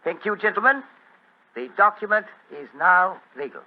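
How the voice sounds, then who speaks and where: Officious, highish-voiced radio-play clerk. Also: male voice, animated, moderate pace. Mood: officious, polite. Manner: fussy, ceremonious, polite. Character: officious, courteous commissioner of oaths. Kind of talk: 1940s radio acting. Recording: muffled, narrow-band old radio recording.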